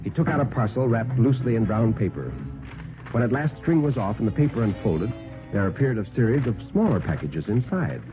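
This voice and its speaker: A man narrating a scripted 1950s radio horror story in a dramatic, deliberate manner. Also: medium pitch, lively intonation. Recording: tinny, narrow-band 1950s radio recording. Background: background music.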